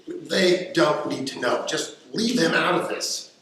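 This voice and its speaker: An actor playing a calm, wry father and secret spy in a radio play. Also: medium pitch, unhurried. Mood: measured. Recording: very echoey room, faint noise.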